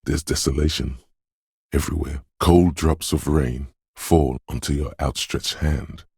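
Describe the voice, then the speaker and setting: An actor playing a brooding, dreamlike inner voice of intuition in a video game, narrating slowly, solemnly and ominously in poetic second person. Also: male voice, extremely low pitch, steady tone, gravelly, darkish tone, breathy edge, no echo, studio quality, heavily acted. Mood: melancholy, dreamlike.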